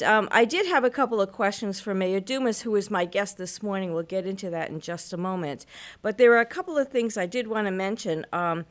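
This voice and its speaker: A woman hosting a local radio talk show, a bit hoarse from illness, chatting in a rambling way with many fillers. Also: mid-range voice.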